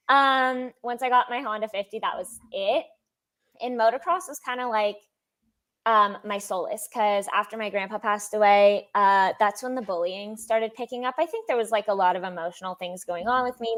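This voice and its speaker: A young woman with a high-pitched voice, telling her story as an interview guest in a chatty way, laughing often and acting out quotes. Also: moderate pace, a bit bright. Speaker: sponsored athlete guest.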